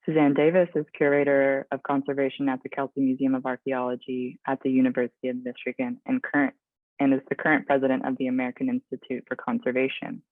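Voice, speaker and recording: Flat-voiced man introducing speakers. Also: mid-range voice, fast, no echo, phone-quality sound. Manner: plain, reading bios with small slips. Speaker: event moderator.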